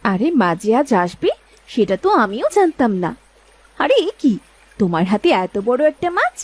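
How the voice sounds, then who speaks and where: Cartoon village wife, young and caring, played by a woman; highly animated, slightly high, husky. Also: normal speed, no echo. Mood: surprised. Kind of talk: cartoon dialogue.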